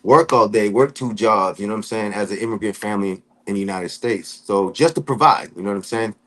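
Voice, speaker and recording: A man from Florida telling his life story in a casual interview, talking in relaxed street slang and often saying "you know what I'm saying". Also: lowish voice, brisk. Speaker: podcast guest.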